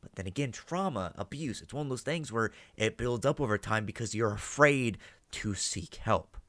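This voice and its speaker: A man's voice: fairly low pitch, lively intonation, normal speed, a bit bright, no room sound. A man giving casual reaction commentary as host, thinking aloud, swearing now and then and checking in with "right?". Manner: ends statements with "right?" as a check.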